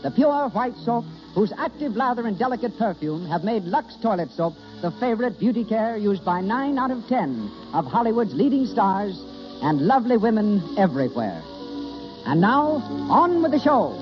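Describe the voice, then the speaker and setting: Very high-pitched, animated radio announcer man. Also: normal speed. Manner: formal, proclamatory, showman-like. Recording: dull 1930s radio recording, music behind.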